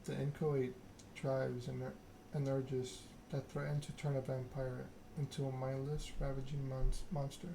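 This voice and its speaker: A soft-spoken male game streamer talking in an ASMR style, measured and a little halting. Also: mid-range voice, flat delivery, vocal fry, noisy background.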